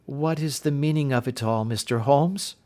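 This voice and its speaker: A man voicing a brisk, earnest young woman governess in an audiobook reading, in his own everyday voice. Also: medium pitch, darkish tone, lightly acted. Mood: puzzled.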